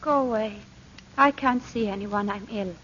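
An actress playing a frightened, fragile wife who doubts her sanity, pleading and halting in 1940s radio drama style. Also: mid-range voice, fairly bright tone, soft background music, natural voice. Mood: anxious, weary. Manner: pleading, halting, breathy with fear. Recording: dull, noisy old radio recording.

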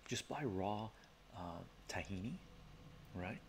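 Middle-aged canadian guy, very low voice, soft chatty asides, slight room hiss, bookshelf study. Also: expressive, slow pace. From Canada, of Armenian descent from Iran. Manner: soft-spoken, chuckling, chatty asides with frequent "right?". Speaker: live-stream host reading chat. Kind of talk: relaxed ASMR live-stream chat. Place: home study lined with bookshelves. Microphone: webcam framing at desk.

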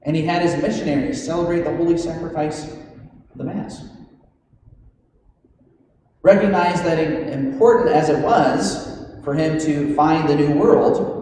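Priest preaching in a very echoey church. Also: male voice, a little high, mellow. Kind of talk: homily. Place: large stone church, indoors. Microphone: gooseneck pulpit microphone. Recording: very echoey church hall, noisy, distant.

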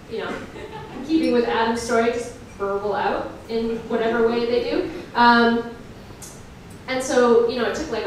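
A woman's voice: a little high, slight background noise. A woman performing a live comedic reading, with wry, mocking, conversational asides to her audience. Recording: echoey room, distant mic, audience sounds.

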